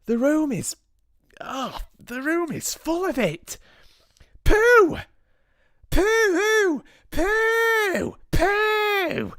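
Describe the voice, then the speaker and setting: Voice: very animated, extremely slow, long pauses, fairly bright tone, dry recording, studio quality, exaggerated voice. Shrieking, outraged storybook witches. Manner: shrill, outraged exclamations. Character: agitated female witches of a shrieking assembly.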